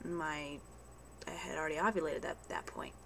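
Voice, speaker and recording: A young woman talks to her camera at home, stuffy and congested with a head cold. Her voice sits a touch low with a gravelly fry, and she rambles with many ums and restarts. A faint hiss sits under the recording. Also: huge pitch swings, normal speed, fairly bright tone. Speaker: vlogger. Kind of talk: casual video diary.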